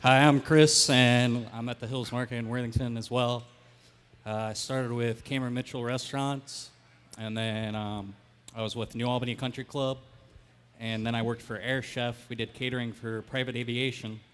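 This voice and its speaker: A man's voice: medium pitch, little pitch movement, moderate pace, fairly bright tone, slight background noise. A man, a chef, talking plainly and easily during a live cooking demonstration.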